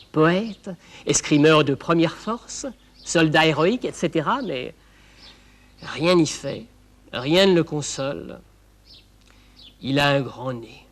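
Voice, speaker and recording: A chatty storyteller for children, with a fairly high voice. The delivery is playful and confiding, leaning on questions and repeated words. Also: male voice, gravelly, heavily acted. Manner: playful, rhetorical questions and repetitions. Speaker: narrator.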